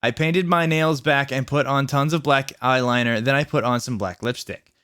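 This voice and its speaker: A man's voice: medium pitch, moderate pace, a bit bright, smooth, close to an everyday voice. A man voicing a self-absorbed, melodramatic seventeen-year-old goth vampire witch girl narrating a fan fiction story. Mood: dramatic, gloomy.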